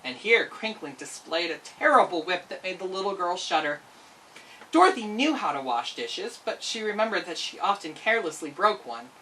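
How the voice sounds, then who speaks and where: A woman's voice: lowish voice, big pitch swings, moderate pace, fairly bright tone, far from the mic, a little hiss or hum, poor audio. A woman narrating a children's storybook expressively as a storyteller.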